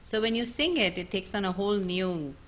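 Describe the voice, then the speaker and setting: A middle-aged woman singer from India talking on a video call, thoughtful and pausing with many ums. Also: medium pitch, a bit bright.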